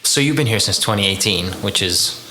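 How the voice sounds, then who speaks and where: A man's voice: on the low side, lively intonation, fairly quick, noisy background. A man hosting a podcast, chatty and upbeat, reading sponsor spots and welcoming a guest. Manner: upbeat, chatty, uses fillers. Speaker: podcast host.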